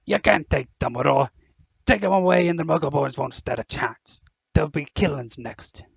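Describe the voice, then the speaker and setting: A gruff, protective groundskeeper played by a man, blunt and folksy. His voice is gravelly and husky, on a dry, slightly dull audio drama track. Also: a little high, moderate pace, no room sound, exaggerated voice. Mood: indignant. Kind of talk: fan audio drama acting.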